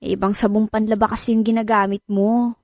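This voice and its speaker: A woman's voice: mid-range voice, little pitch movement, fairly quick, darkish tone, dry recording, studio quality, natural voice. A woman playing an exasperated, reproachful working wife and mother in a radio drama. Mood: matter-of-fact. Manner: scolding, reproachful. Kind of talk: Filipino radio drama acting.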